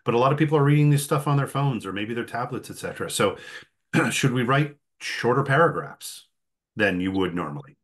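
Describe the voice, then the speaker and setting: A man hosting a conversational interview, thinking aloud in a rambling way and often clearing his throat. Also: mid-range voice, expressive, fairly quick, a bit bright. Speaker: host and interviewer.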